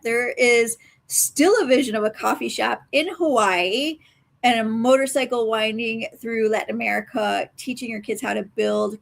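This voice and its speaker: A woman's voice: fairly high pitch, vocal fry, fairly bright tone. A woman hosting an interview, introducing her guest in a chatty way with many fillers and restarts. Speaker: host.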